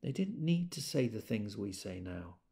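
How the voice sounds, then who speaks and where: An actor playing a wry British character in an audio drama, telling memories conversationally and quick to exasperation. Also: male voice, on the low side, sing-song, vocal fry, dark tone. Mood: reflective, understated. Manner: conversational, acts out quoted speech. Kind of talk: intimate audio drama monologue.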